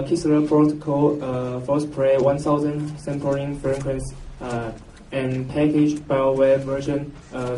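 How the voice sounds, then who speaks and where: A man's voice: medium pitch, warm tone. Hesitant Taiwanese man presenting, echoey room. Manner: hesitant, halting, with very frequent filled pauses. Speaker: academic presenter at a conference. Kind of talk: scientific conference presentation in halting English. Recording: roomy, noisy, distant mic, muffled echo.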